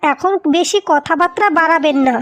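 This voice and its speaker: A woman's voice: very high-pitched, normal speed, a bit bright, faint music behind. A woman voicing a feisty, proud young wife in a cartoon, with a firm, scolding way of talking. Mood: firm, defiant. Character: feisty, proud young wife defending her family home. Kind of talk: cartoon dialogue.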